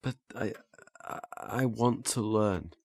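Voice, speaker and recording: A man's voice: on the low side, slow, a little mellow, no echo. A man playing an earnest, flustered learner in a naturalistic audio drama, hesitant and stammering as he restarts his phrases. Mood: hesitant, eager. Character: earnest, flustered male learner eager to master memory techniques.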